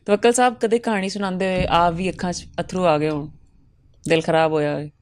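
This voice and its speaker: A woman hosting a talk show, asking her guest for stories and keeping up steady murmured agreement while he talks. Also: fairly low pitch, quick pace, clean tone. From Pakistan. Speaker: host.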